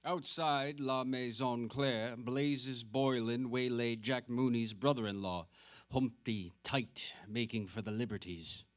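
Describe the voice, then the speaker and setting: Male narrator of literary prose, medium pitch. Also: steady tone, slow. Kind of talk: literary narration in a staged reading.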